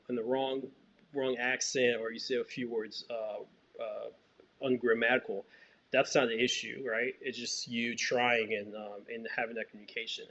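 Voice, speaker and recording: Man from Haiti with muffled sound. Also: mid-range voice. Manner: thoughtful, hesitant with many fillers and restarts. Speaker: filmmaker. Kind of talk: interview answers.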